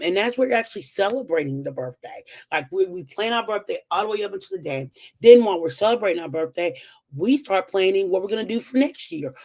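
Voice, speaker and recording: Hoarse-voiced woman teaching a finance livestream. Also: on the low side, a little mellow. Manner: chatty, many fillers, upbeat and encouraging. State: voice strained after losing it. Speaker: financial educator hosting a weekly livestream. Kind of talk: casual live-stream teaching at a whiteboard.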